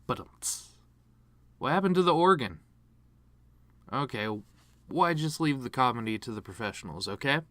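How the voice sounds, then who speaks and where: Casting: a man playing a grieving, bitter male horse delivering a eulogy monologue; medium pitch, sardonic and self-deprecating, dry recording. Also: moderate pace, pauses often, dry recording, natural voice. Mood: flippant, bitter. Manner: sardonic, rambling, self-deprecating deadpan humor. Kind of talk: dramatic monologue reading.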